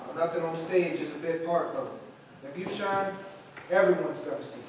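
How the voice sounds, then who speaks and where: Struggling male actor, early thirties man performing, earnest, guarded theatre delivery, high pitch, heavy room echo. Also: normal speed, background noise, natural voice. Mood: guarded, quiet. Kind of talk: staged reading acting. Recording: very echoey room, distant mic.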